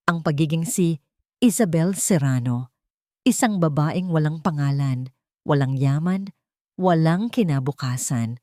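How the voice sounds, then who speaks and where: A woman's voice: fairly low pitch, darkish tone, dry recording, studio quality. A woman reading scripted, dramatic story narration as the narrator of an audio drama.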